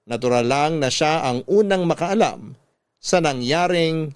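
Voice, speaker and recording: A man's voice: fairly high pitch, measured pace, clear, resonant tone, no echo, clean studio sound. A man with a Filipino accent reading a listener's letter as narrator, in scripted radio-style storytelling.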